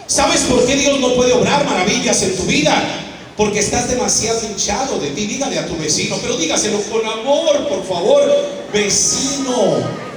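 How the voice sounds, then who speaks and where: Shouting preacher man in an echoey hall. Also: very high-pitched, animated. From United States (Arizona). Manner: shouting, drawn-out emphatic words, prompting the crowd to repeat. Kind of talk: fiery call-and-response sermon. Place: large school hall with a congregation. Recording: echoey hall recorded from far off. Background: faint crowd and room noise.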